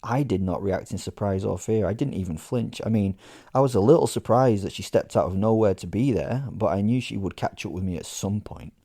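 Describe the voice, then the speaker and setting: A man's voice: on the low side, brisk, vocal fry, mellow. A man narrating a first-person horror comedy in character, conversational and sweary, with a sardonic, theatrically indignant manner.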